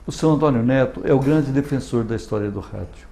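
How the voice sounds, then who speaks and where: A man's voice: medium pitch, a little fast, creaky, mellow, a little hiss or hum. A Brazilian man giving a spoken testimonial as a community media representative.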